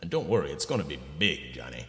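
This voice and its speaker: A man's voice: rumbling low, big pitch swings, a bit bright, full character voice. Man playing a swooping, slick record executive in echo. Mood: scheming, persuasive. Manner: fast-talking salesman patter with wheedling asides. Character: slick, pushy, greedy male record company executive. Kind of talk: comic radio play acting. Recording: echoey, long reverberant room sound.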